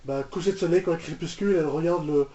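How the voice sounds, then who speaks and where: A man gives off-the-cuff reaction commentary in a soft, mellow voice. He hesitates and often repeats himself. The sound is roomy and a little dull, like a lo-fi home microphone. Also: a little high, fast. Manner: hesitant, repeats words and trails off. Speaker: reaction video host. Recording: echoey room, muffled lo-fi microphone.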